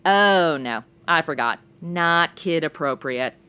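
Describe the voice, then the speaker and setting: A woman's voice: sits low, animated, slow pace, pushed and bright, clear, resonant tone, full character voice. A young woman playing an irreverent, chatty modern sidekick with a sassy, casual manner in a comedic audio drama. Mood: joking. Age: young adult.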